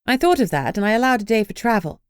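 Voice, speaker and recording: A woman's voice: fairly high pitch, animated, fast, clear, resonant tone, no room sound, studio quality, pitched above the performer's own voice, natural voice. A woman reading a brash, irreverent young heroine's lines in an emphatic way.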